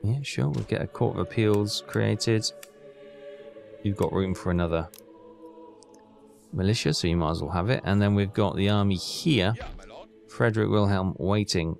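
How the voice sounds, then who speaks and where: A man's voice: lowish voice, moderate pace, creaky, pauses often, a little mellow, soft background music. A man hosting a gaming video, giving casual commentary and thinking aloud in a chatty way as he plays.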